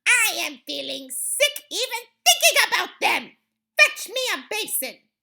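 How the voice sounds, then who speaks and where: A woman's voice: extremely high pitch, very animated, slow pace, pushed and bright, pitched above the performer's own voice, exaggerated voice. A woman voicing a tyrannical, child-hating chief witch in a children's storybook, screeching and barking out imperious orders. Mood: revolted. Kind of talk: theatrical children's audiobook villain.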